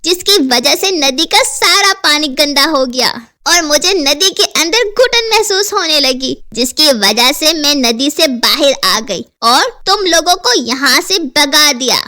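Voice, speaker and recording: A woman's voice: high voice, lively intonation, unhurried, few pauses, full character voice. A woman voicing an angry, powerful river witch in a cartoon horror story, boastful and scolding. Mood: indignant. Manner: scolding, forceful. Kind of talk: animated Hindi horror story dialogue.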